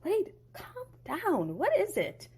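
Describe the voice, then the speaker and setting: A woman voicing a protective, anxious mother who speaks urgently in a raised pitch. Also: huge pitch swings, slow pace, a little mellow, heavily acted. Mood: alarmed. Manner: urgent, commanding.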